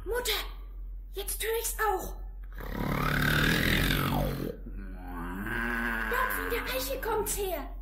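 A woman playing a tiny, excitable young goat kid in a children's fairy-tale radio play, childlike and earnest. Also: very high-pitched, measured pace, roomy sound, very noisy, heavily acted. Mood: alert, awed. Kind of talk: 1960s German children's radio play acting.

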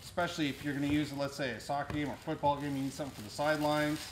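A man's voice: a little high, fairly even intonation, very fast. A middle-aged man giving a casual, explanatory product demonstration in plain-spoken style, talking as he handles the gear. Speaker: store presenter demonstrating audio gear. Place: indoors in a cluttered audio equipment store. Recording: noisy room recording with clicks.

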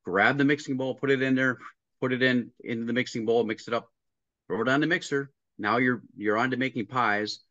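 A man's voice: mid-range voice, fairly even intonation, brisk, a bit bright, no room sound. A middle-aged man on a video call, telling work stories step by step and acting out the dialogue. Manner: explains step by step, acting out dialogue, frequent restarts. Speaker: restaurant consultant guest. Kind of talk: casual interview storytelling. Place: home room with a painting behind him. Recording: dry, muffled video call audio.

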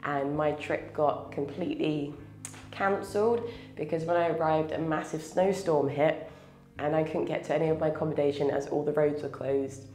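A woman's voice: deep voice, fairly even intonation, normal speed, dark tone, echoey room, soft background music. A woman in her thirties, stuffy and a little nasal from a cold, chatting to camera as a travel vlogger and laughing often. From Scotland. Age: 30s. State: congested from a cold. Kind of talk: casual vlog to camera. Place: small wooden cabin, then inside a car. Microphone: clip-on lapel mic.

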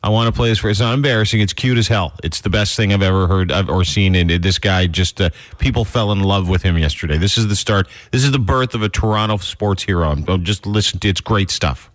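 A man co-hosting a casual morning radio show from a studio, rambling excitedly and laughing as he tells a story. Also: on the low side, brisk, few pauses. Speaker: radio co-host. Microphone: studio microphone.

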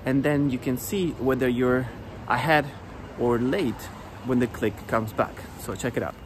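A man's voice: mid-range voice, measured pace, background noise. A man in his thirties giving a casual saxophone lesson while walking outdoors on a rainy street, talking upbeat and chatty into a phone held at arm's length. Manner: chatty, upbeat, says "okay?" often. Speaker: saxophone teacher.

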